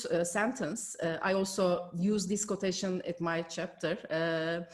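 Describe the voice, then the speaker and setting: A woman from Turkey with a Turkish accent giving an online conference keynote from home, careful with frequent hesitations, on a video call mic. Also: mid-range voice. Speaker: keynote speaker.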